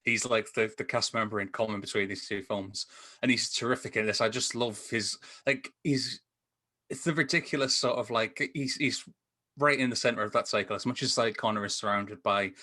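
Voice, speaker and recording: A man's voice: lowish voice, fairly quick, sharp tone. A man chatting casually about films as a panelist, rambling and enthusiastic. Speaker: podcast panelist reviewing films. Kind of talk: casual film discussion chat. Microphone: remote call microphone.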